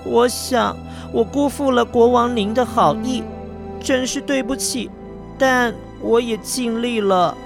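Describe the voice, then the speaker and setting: A man's voice: very high voice, takes it slowly, creaky, over music, pitched above the performer's own voice, full character voice. A man voicing an earnest, humble young boy who speaks politely and apologetically in a children's storybook tale. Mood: humble, apologetic.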